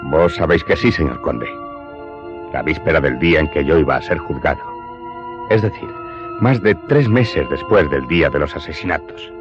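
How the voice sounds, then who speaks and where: A man's voice: rumbling low, animated. An actor playing a haunted, humble Corsican smuggler turned servant who confesses his story in a radio drama. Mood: earnest, deferential. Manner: deferential, haunted, deliberate storytelling. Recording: muffled audio under prominent music.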